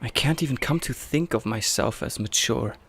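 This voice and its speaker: A man's voice: mid-range voice, normal speed, fairly bright tone, a little hiss or hum, close to an everyday voice. A man voicing a timid, self-doubting boy trainer in a manga dub, hesitant and introspective. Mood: reflective. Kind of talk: manga dub narration and dialogue.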